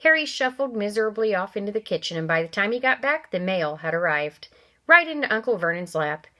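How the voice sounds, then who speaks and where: A woman reading a children's novel aloud, with animated character voices and chatty asides to young listeners. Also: mid-range voice, a little fast. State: coughing from allergies. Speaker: reader.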